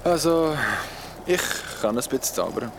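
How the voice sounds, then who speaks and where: A man's voice: fairly high pitch, very animated, unhurried, background noise. A man acting in an audio drama as a cagey young storyteller, speaking in an understated, conversational and slightly hesitant way. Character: cagey young male storyteller with a mysterious past.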